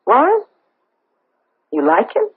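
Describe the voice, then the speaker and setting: An actress playing an embittered, vengeful middle-aged socialite in a radio drama, with an intense, challenging, theatrical delivery. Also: female voice, a little high, wildly swooping pitch, slow, breathy edge, exaggerated voice. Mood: uneasy. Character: embittered, vengeful socialite wife hiding a painful past. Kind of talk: 1970s radio drama acting. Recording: old radio broadcast, thin narrow phone-like sound.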